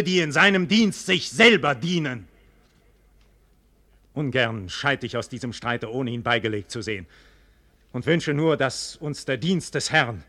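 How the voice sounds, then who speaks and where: Bright, impassioned proud nobleman, played by a man. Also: mid-range voice, lightly acted. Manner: declamatory, rhetorical, emphatic diction. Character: proud, frank, impassioned nobleman defending his people. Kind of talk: 1940s German radio drama acting, theatrical declamation.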